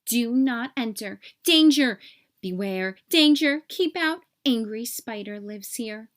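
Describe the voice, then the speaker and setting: High-pitched, stubborn little boy, voiced by a woman. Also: animated, takes it slowly. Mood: determined. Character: stubborn young boy guarding his lost teeth.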